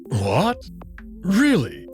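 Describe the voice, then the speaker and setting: A man playing a proud, confident wolf-man noble in a visual novel, formal and deliberate in his wording. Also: high voice, wildly swooping pitch, over music, exaggerated voice. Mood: teasing, amused. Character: proud, confident male wolf-man noble, playful but hot-tempered.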